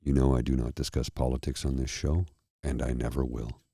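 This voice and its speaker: A tired man with a husky, slightly froggy throat, hosting his own show and talking earnestly and slowly to his viewers. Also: rumbling low, little pitch movement, dark tone, no echo, clean studio sound. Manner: slow, earnest, heartfelt. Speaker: host. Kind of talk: candid personal talk to viewers.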